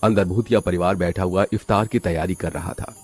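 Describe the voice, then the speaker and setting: A man's voice: sits low, fairly even intonation, fairly quick, a little mellow, soft background music. A man narrating a scripted story in a dramatic storytelling style.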